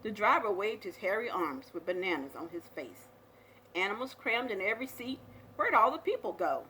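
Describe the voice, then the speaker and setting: A woman reading a children's picture book aloud as a storyteller, with expressive, dramatic delivery. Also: medium pitch, normal speed, slight background noise, low-quality recording.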